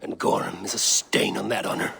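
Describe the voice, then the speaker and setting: Game role: proud Viking raider, gravelly and sharp-edged, blunt, wry, faint ambient noise behind. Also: male voice, fairly low pitch, little pitch movement, normal speed, heavily acted. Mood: wry, resolute. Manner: terse, wry, defiant. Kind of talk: video game dramatic acting.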